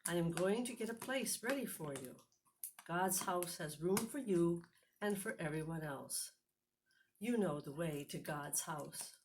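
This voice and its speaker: A woman voicing a kind, patient male teacher in a children's storybook, speaking in a deliberate, reassuring way. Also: on the low side, lively intonation, a little hiss or hum.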